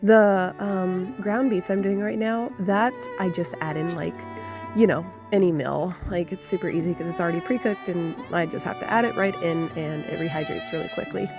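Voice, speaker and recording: A woman's voice: lowish voice, normal speed, over music. A woman who is getting over a cold, narrating a casual home cooking vlog in a chatty, rambling way full of fillers and restarts. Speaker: homestead vlogger. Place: home kitchen.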